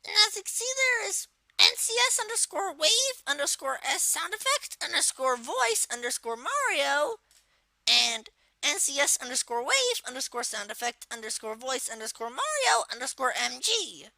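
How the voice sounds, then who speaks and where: A cheeky cartoon villain kid, talks through a software tutorial. The voice is extremely high and lively, recorded dry and close. The delivery is casual and halting, full of filler words. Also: female voice, dry recording, heavily acted, low-quality recording. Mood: casual, explaining. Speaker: tutorial presenter.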